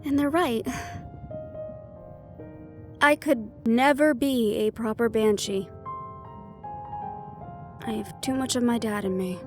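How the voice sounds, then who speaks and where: Woman acting a worried wry half banshee girl in a fantasy audio rp, kinda high voice, confiding, music behind her. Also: slow pace, long pauses. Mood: wistful, resolute. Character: young female half-banshee siren, worried, wry, secretly vulnerable. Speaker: audio roleplay voice actor. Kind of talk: intimate scripted audio roleplay. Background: background music.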